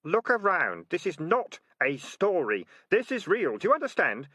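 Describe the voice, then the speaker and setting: A man's voice: on the high side, big pitch swings, moderate pace, sharp tone, dry recording, clean studio sound, voice pushed up, heavily acted. A man voicing an earnest young boy who speaks firmly. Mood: stern. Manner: firm, earnest.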